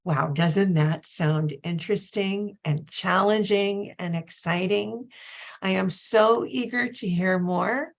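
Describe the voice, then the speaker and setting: A woman's voice: medium pitch, takes it slowly, creaky. A woman hosting an online poetry reading with an informal, effusive and apologetic introduction over a video call. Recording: dull, lo-fi video call audio.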